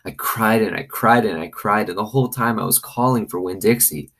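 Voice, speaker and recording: Low-voiced man reading a story aloud. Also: fairly quick, a bit bright, husky. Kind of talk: expressive storybook reading with commentary.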